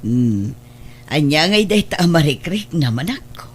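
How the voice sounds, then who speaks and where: Radio drama grandmother: older, affectionate, husky, very animated intonation. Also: low voice, normal speed, heavily acted. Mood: gentle concern. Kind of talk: Ilocano radio drama acting.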